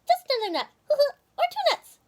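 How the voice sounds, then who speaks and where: High lively pitch, little male chipmunk acted by a woman, jolly, coaxing, storybook character. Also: normal speed.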